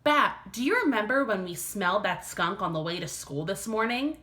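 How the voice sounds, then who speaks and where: Storybook mom, read by a woman: bright tone, gentle, firm, explanatory. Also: medium pitch, animated, normal speed. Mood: explaining. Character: gentle but firm mother of two children. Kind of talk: children's storybook read-aloud.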